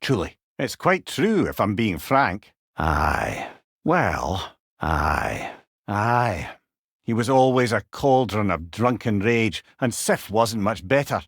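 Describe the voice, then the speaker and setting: A man's voice: fairly low pitch, sing-song, slow, slightly rough, no echo, clean studio sound, heavily acted. A man voicing a witty, wise old storyteller in a video game, with a Scottish accent and an eloquent, wry, conversational manner. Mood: wry, musing. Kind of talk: dramatic video game dialogue.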